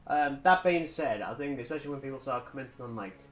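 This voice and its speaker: A man speaks as a podcast co-host in a casual, conversational style. His voice sits at a medium pitch, and he gives his opinions bluntly. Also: animated, fast talker, a bit bright, soft background music. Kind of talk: casual film and game chat.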